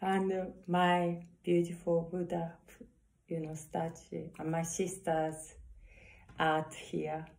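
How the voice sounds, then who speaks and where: Slow low-voiced Japanese-accented woman. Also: monotone, gravelly, a little mellow. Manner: slow, earnest, halting English with self-corrections. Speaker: saxophonist vlogger. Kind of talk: reading love affirmations to camera. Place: corner of a small room indoors. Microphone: phone camera at arm's length.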